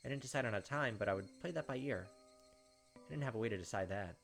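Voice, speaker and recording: A man's voice: fairly low pitch, expressive, quick pace, gravelly. A man reading a visual novel aloud in a dramatic first-person way, with casual asides as a gaming host. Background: game music.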